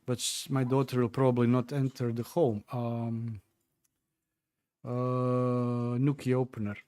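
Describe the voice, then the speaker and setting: A man's voice: mid-range voice, little pitch movement, a little slow, dark tone, smooth. Chatty man streaming from home. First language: Croatian. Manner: hesitant, chatty, many fillers and restarts while tinkering. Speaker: livestream host. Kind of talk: casual livestream chat, answering viewer comments.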